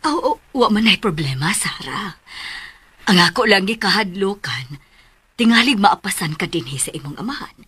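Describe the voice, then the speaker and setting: A woman's voice: medium pitch, very animated, moderate pace, a bit bright, husky, no echo, full character voice. An actress playing a firm, worried middle-aged mother in a radio drama, with a Filipino accent.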